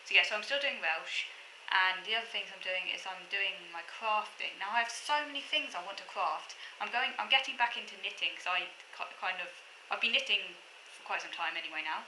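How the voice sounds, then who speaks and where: A woman's voice: medium pitch, a little fast, echoey room, noisy background. A woman in a casual rambling monologue, recorded at home, chatting with lots of ums and restarts. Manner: chatty, many ums and restarts, self-deprecating asides. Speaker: vlogger. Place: room at home.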